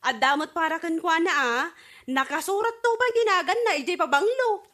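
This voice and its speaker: A woman's voice: extremely high pitch, fairly quick, sharp tone. A woman acting a breezy, self-indulgent daughter home from abroad in an Ilocano radio comedy drama.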